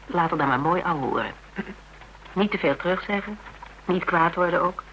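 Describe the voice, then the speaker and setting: A cool, bright-voiced worldly woman. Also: fairly low pitch, a little hiss or hum, low-quality recording. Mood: earnest advice. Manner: matter-of-fact, wry, measured. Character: Tera, cool practical worldly woman giving frank advice. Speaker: actor in a radio play. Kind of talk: Dutch radio drama acting, conversational.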